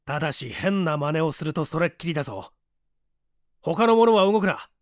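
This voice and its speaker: A man's voice: a little high, animated, normal speed, no echo, studio quality, lightly acted. Man acting a menacing, desperate gunman on the run. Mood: tense, commanding. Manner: curt, threatening commands. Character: desperate, menacing armed fugitive man, panting and curt.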